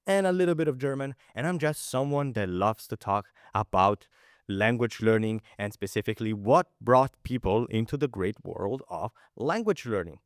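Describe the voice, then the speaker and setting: A young man from Italy hosts a podcast conversation with a lively, swooping pitch. He talks with eager enthusiasm, rambling through fillers and restarts. The sound is close and dry. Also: normal speed, no room sound. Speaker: podcast host. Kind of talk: casual interview chat.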